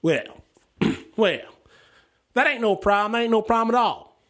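Man putting on a swaggering old farmhand, pitched up. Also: a little slow. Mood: folksy, cocky. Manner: loud, folksy, showy. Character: swaggering older male farmhand well-dowser.

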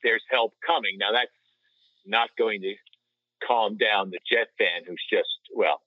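A man co-hosting a sports podcast, discussing things casually in a measured, conversational manner. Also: on the low side, no echo. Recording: slightly dull lo-fi audio.

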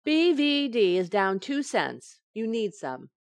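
A woman playing a teasing, quick-witted housewife in an old-time radio sitcom, with wry comic timing. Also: on the high side, big pitch swings, clean tone, no room sound, heavily acted. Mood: wry, exasperated. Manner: wry, sharp comic retorts.

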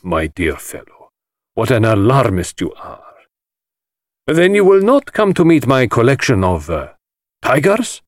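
A flamboyant, sinister gentleman host from a mystery audiobook. His delivery swoops extravagantly high and low, drawling and hissing with mockery. The sound is close and dry. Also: wildly swooping pitch, unhurried, dry recording. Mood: amused, mocking. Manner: theatrical, hissing, drawling affectation. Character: flamboyant, mocking, sinister middle-aged male party host. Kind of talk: dramatised audiobook dialogue.

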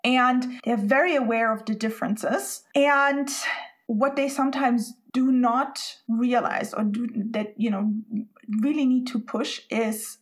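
A woman from Spain hosts a relaxed podcast conversation. Her voice sits a little high. She thinks aloud in a reflective, exploring way. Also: a little slow. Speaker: podcast host.

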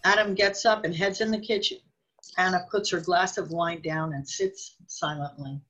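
Husky woman narrating a radio drama. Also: fairly low pitch, fairly even intonation, normal speed, fairly bright tone, highs cut off.

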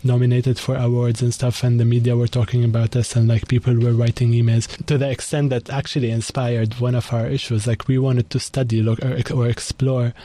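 A young man from Lebanon, a magazine founder, talking through a radio interview quickly and thoughtfully, with many fillers. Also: mid-range voice, little pitch movement, warm tone, no echo. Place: college radio studio.

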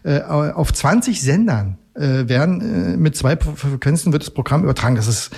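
A man speaking as an expert guest on a radio show, explaining casually and a little haltingly. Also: on the high side, big pitch swings, creaky, a little mellow, husky.

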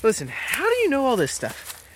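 A man playing a chatty, inquisitive and slightly uneasy hiking companion in an audio drama, with an expressive, questioning delivery. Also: very high voice, a little fast, noisy background, exaggerated voice. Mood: curious, wistful. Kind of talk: naturalistic audio drama dialogue.

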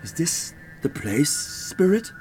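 An actor playing a dead old Irish widower in a radio play, cantankerous and self-pitying, with a pleading, hesitant, indignant way of talking. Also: male voice, fairly high pitch, sing-song, slow, music in the background, low-quality recording. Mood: bewildered. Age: older.

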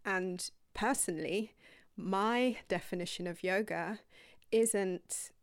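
A young woman yoga teacher telling her own story to viewers in a rambling, candid, casual way, dropping to breathy whispered asides now and then. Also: mid-range voice, takes it slowly, no room sound, clean studio sound.

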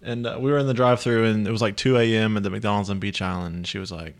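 A man tells stories as a comedian guest in a loose, casual chat. His voice sits fairly low with a heavy gravelly creak. He is deadpan and slangy. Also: fast.

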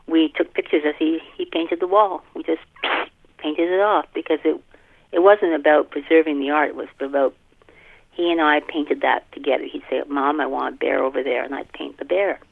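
A middle-aged woman with a creaky, gravelly voice talks over a narrow telephone line. She is a casual, expressive interview guest who repeats phrases for emphasis. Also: deep voice, moderate pace, no echo. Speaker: author, podcast guest. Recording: muffled narrow phone line.

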